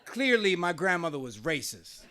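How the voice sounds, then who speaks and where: A man's voice: a little high, big pitch swings, moderate pace, crowd noise. A middle-aged man from New Jersey telling a wry, conversational personal story on stage at a small live venue, often laughing as he talks. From New Jersey, USA.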